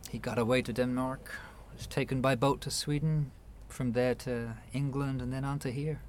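An actor in a radio play voicing a guarded, wounded New York man with a measured, wry delivery. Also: medium pitch, steady tone, a little mellow, slight background noise, natural voice. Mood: subdued, reflective.

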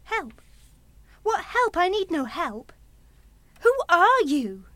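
A woman's voice: very high-pitched, sing-song, takes it slowly, fairly bright tone, no echo, full character voice. A woman acting in a radio play as a proud, defensive single mother, guarded and intense in protecting her son. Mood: alarmed, indignant.

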